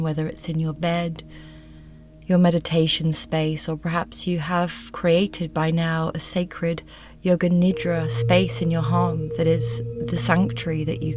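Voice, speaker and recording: A woman leading a guided yoga nidra meditation in a soothing, hushed voice that often softens toward a whisper. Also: sits low. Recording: music bed with singing bowl tones. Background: meditation music with singing bowls.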